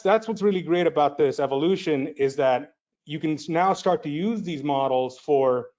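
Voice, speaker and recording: A man with a slightly raised pitch presents a technical talk at a conference. He speaks haltingly, pausing and restarting his phrases. The audio is dull and muffled. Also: darkish tone.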